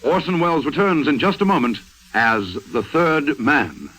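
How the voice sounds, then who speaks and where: Male radio announcer over loud music. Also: on the high side, big pitch swings, moderate pace, poor audio. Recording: old radio recording under loud music.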